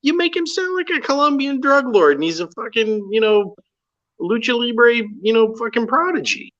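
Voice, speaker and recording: A middle-aged man co-hosting a casual wrestling podcast, excitable and profane, stammering as he builds up. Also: extremely high pitch, moderate pace, dull audio. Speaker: podcast co-host.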